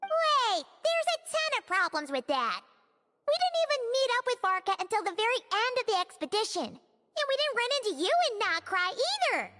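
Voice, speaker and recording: A woman voicing a small, excitable childlike fairy girl companion for a game, with a squeaky voice. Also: normal speed, big-room sound, full character voice. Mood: indignant. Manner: squeaky, refers to self in third person. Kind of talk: game cartoon voice acting.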